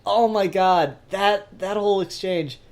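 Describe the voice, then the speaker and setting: A man co-hosting a casual discussion, animated and sweary, prone to acting out bits of dialogue. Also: sits high, measured pace. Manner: animated, profane, acts out quoted dialogue. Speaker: podcast co-host discussing comics. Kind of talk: casual chat.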